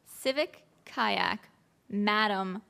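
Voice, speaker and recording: A woman's voice: medium pitch, very slow, gravelly, sharp tone, clean tone. A woman playing along as a quiz contestant, giggling and unsure of her answers. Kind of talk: comedy quiz show banter.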